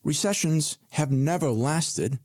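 A man's voice: on the high side, slow, a little mellow, no echo, studio quality. A man co-hosting a retirement radio show as a financial advisor, talking in a conversational, reassuring way and leaning hard on key figures.

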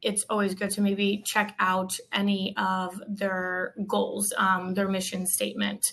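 A woman with a gravelly, creaky edge to her voice and a very even, flat melody. She offers career tips conversationally as a guest, building her thoughts in short, hesitant phrases. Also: medium pitch, unhurried, low-quality recording. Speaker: staffing professional giving advice as a guest. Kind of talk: conversational interview advice.

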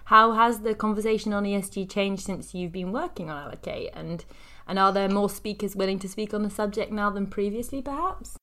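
Woman podcast host, middling pitch. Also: a little fast, sharp tone. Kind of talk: conversational business podcast hosting.

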